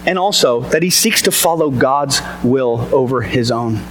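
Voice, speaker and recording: A man with a boomy voice giving an energetic, chatty sermon to a church congregation, asking the listeners questions. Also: measured pace, slight background noise, rough recording. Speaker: pastor. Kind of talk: casual conversational sermon. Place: church service before a congregation. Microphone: amplified mic on a sound system.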